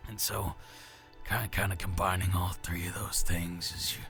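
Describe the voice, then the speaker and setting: A very low-pitched man from the American South, speaking with a neutral accent and a natural gravel. He explains a voice build in a loose, rambling way, with plenty of fillers and restarts. Faint music sits underneath. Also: level tone, normal speed, pushed and bright. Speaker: voice actor. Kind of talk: explaining how he builds a character voice.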